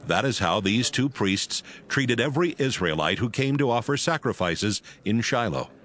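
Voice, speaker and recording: A man narrating dramatized scripture from a script, telling it as a measured story. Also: medium pitch, animated, a bit bright.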